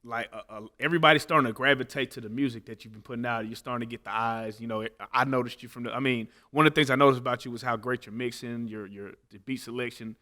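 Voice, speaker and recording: A man's voice: medium pitch, fairly even intonation, fast, few pauses, sharp tone. A man hosting a casual interview, asking questions in informal, slangy banter.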